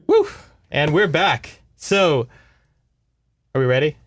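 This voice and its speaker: A man's voice: a little high, sing-song, slow, soft background music. A man co-hosting a podcast, retelling a book in a casual, informal, chatty way. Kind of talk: casual conversational book recap.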